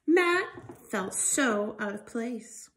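A middle-aged woman reading a children's storybook aloud in an expressive, emphatic storytelling style. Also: fairly high pitch, drawn-out words, a little hiss or hum. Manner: animated, emphatic delivery with sound effects. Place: living room by a fireplace, indoors.

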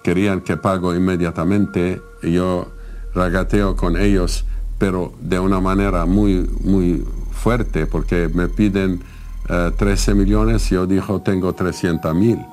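An interviewed man from Lebanon speaks in a low voice. His Spanish is halting and non-native as he recounts events, with faint music behind. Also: steady tone, darkish tone.

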